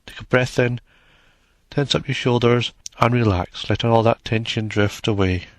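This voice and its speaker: A man narrating a guided meditation in a soothing, measured manner, leaving long pauses between short phrases. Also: mid-range voice, steady tone, no echo.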